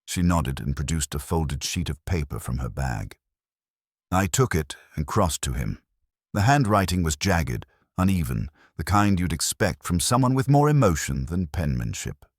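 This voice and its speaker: A man's voice: rumbling low, sing-song, moderate pace, no echo, clean studio sound. A man reading scripted first-person detective fiction as an audiobook narrator, in a wry, dramatic hardboiled style.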